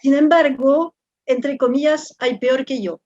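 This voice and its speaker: A woman's voice: sits high, fairly even intonation, unhurried, a little mellow, no echo, muffled recording. A woman from France in her fifties with a French accent, a professor talking as an interview guest. She speaks thoughtfully and halts often, repeating words while she searches for the next one. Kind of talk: reflective conversational interview.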